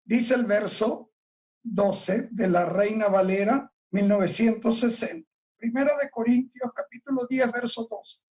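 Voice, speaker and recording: A male pastor preaching a sermon, reading scripture aloud and explaining it with deliberate emphasis on key words. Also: very high voice, a little mellow, far from the mic, rough recording. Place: church.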